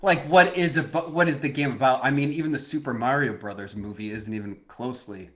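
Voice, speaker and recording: A man's voice: medium pitch, brisk. Argumentative male podcast co-host. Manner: argumentative, pushing his point. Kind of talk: casual chat.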